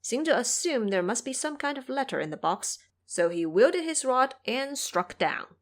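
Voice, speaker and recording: Animated, higher-pitched woman storyteller. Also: measured pace. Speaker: narrator. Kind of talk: dramatic scripted storytelling.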